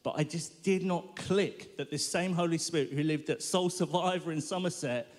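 A man giving his testimony at a live worship event, animated and self-mocking as he tells his story. His voice sits a touch high for a man, and it carries through a big hall with a long reverb. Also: normal speed. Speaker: guest speaker. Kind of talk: personal testimony sermon.

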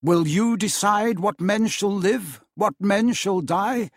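A man's voice: high-pitched, slow pace, no echo, clean studio sound, voice pushed up, full character voice. A man voicing a stern male Christmas ghost who solemnly rebukes a miser in a storybook reading. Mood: rebuking. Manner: solemn, scolding.

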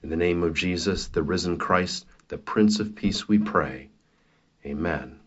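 An American man speaking as a pastor and host, in a measured, halting way with pauses, informal yet prayerful. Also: low-pitched, husky, highs cut off. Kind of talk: informal sermon introduction and prayer.

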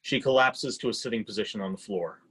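A man's voice: fairly low pitch, fast, fairly bright tone, breathy edge. A man reading out stage directions as scripted narration for a readers theatre play.

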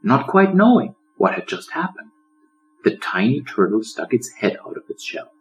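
A man narrating a children's story as an audiobook, in a dramatic, expressive storyteller's manner. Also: mid-range voice, moderate pace, faint music behind, rough recording. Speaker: author reading own audiobook.

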